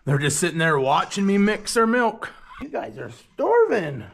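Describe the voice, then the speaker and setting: Folksy farm guy talking while he works on the farm, slightly high voice, bit of hiss behind. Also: big pitch swings, moderate pace, creaky. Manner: plain-spoken, folksy, thinking aloud with fillers. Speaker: farm vlogger. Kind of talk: casual vlog narration. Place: small farm, barn and workshop.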